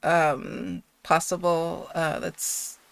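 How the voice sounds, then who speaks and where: A woman hosts a laid-back livestream and chats before a bedtime reading, still drowsy from sleep. Her voice sits low and carries a gravelly fry, and she giggles and rambles with plenty of fillers. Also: level tone, drawn-out words, dry recording. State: sleepy, just woken. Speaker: livestream host.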